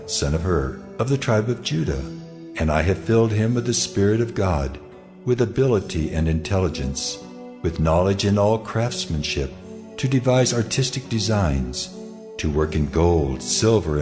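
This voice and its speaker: Casting: almighty deity, very low voice, solemn and deliberate, audio scripture drama over music. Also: male voice. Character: God, commanding, solemn male deity of great authority.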